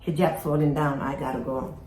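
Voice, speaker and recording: A woman's voice: low-pitched, fairly even intonation, fairly quick, darkish tone, reverberant, slight background noise, rough recording. A middle-aged woman from Somalia, chatting casually in her home kitchen as she bakes, often checking in with viewers. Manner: chatty, asks viewers "right?". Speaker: home baker and farm vlogger.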